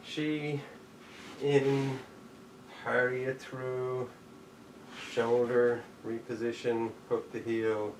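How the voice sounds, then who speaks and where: A man teaching a live online jujitsu class, calling out each step as he demonstrates it. His voice sits at an ordinary middle pitch and bounces around an echoey room. The camera mic is a few steps away, and faint background noise sits under him. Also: little pitch movement, extremely slow, recorded from a distance. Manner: demonstrating moves while talking, counting out steps. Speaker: jujitsu instructor.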